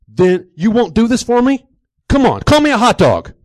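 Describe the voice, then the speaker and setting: A man acts out a petulant, overgrown-child gym bro in a comic stage story. The voice is high and strained, pleading and pushing with a loud, whiny insistence. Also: huge pitch swings, moderate pace, dry recording, clean studio sound, full character voice. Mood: insistent pleading. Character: pushy whining gym man demanding insults, childish tantrums.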